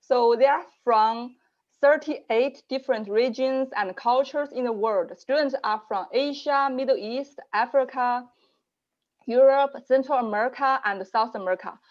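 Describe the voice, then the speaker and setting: A woman who manages global programs, hosting a virtual information session and walking through resources in a measured way with frequent fillers. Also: fairly high pitch, highs cut off. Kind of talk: online info session presentation. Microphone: video call.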